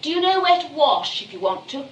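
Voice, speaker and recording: An actress playing a frank, gushing young upper-class English daughter in a radio comedy. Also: female voice, high-pitched, very animated, brisk, slight background noise. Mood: flustered. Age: young adult. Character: candid, impetuous young daughter of a bohemian family. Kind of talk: radio play comedy acting.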